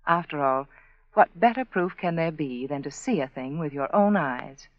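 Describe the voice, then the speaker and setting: A woman giving an earnest, intimate sales pitch as the sponsor's spokeswoman in a 1940s radio commercial. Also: animated, moderate pace. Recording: dry, dull 1940s radio recording.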